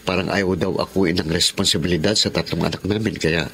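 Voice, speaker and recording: A man's voice: sits low, steady tone, fairly quick, fairly bright tone, slight background noise. An actor playing a humble, struggling father of three in a Tagalog radio drama, earnest and plaintive in delivery. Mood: resigned.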